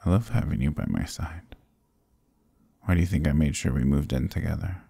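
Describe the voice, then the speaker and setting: A man voicing a stubborn but affectionate sick young boyfriend in an intimate ASMR roleplay, soft, husky and drowsy, murmuring close to the mic. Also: rumbling low, a little fast, dry recording, full character voice. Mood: teasing, sleepy. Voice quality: husky, gravelly, breathy. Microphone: close, dry microphone.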